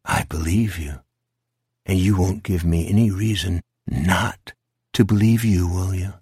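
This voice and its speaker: A man voicing a cold, taunting shadowy monster in a horror audiobook, speaking slowly and deliberately with menace. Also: low-pitched, darkish tone, husky, no room sound, clean studio sound, voice pushed down, exaggerated voice. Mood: coaxing menace. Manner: slow, deliberate, coldly commanding.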